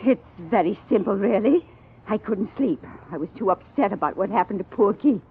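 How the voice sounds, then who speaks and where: A woman's voice: medium pitch, expressive, normal speed, close to an everyday voice. An actress playing a haughty, affronted woman under suspicion in a 1950s radio drama, protesting as she speaks. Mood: defensive. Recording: tinny, noisy old radio recording.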